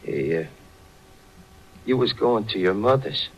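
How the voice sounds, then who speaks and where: Small-time American crook,, husky, lowish, swinging delivery, suspicious and hot-tempered, slangy vintage radio drama. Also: male voice, lively intonation, measured pace, lo-fi sound, heavily acted. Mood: hard, smug. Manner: slangy, uneducated, gruff speech. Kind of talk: 1940s radio crime drama acting. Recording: vintage 1940s radio broadcast.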